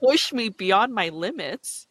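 A woman who performs on stage, with a low register voice, chatting casually, swearing and readily agreeing. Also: animated, slow pace, a bit bright, no echo. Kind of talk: casual chat.

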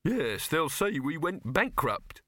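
A man's voice: fairly high pitch, sing-song, takes it slowly, bright, forceful tone, dry recording, studio quality, pitched above the performer's own voice, heavily acted. A man voicing an anxious, wavering male businessman with a hesitant manner, in an audiobook. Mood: wavering, insistent. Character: anxious, cautious businessman, wavering and easily flattered.

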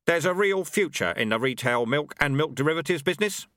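A man's voice: fairly high pitch, animated, fairly bright tone, no room sound, clean studio sound, voice pushed up. A man voicing a pleasant, confident armored horseman of chaos who speaks carefully in a fantasy audiobook. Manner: careful, deliberate.

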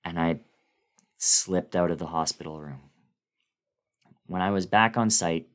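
A man giving a dramatic, suspenseful first-person reading of a horror story, with theatrical pauses. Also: fairly low pitch, creaky. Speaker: guest reader of a horror story.

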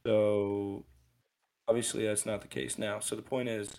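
A young man in his twenties rants through motivational advice on a laid-back podcast. His voice sits low and carries a gravelly rasp. He rambles and restarts his sentences as he talks. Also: flat delivery, measured pace, mellow. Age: 20s. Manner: rambling, ranting, with frequent restarts. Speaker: podcast co-host. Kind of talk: casual motivational podcast chat.

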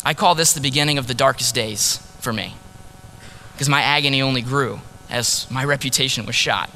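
A man preaching in the first person as a grieving ancient prophet, earnest and sorrowful, dropping to a hush on key words. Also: mid-range voice, a little fast, slight background noise, low-quality recording. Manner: earnest, sorrowful storytelling, drops to hushed tones on key words. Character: grieving ancient male prophet, heartbroken husband. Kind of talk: dramatic first-person sermon monologue.

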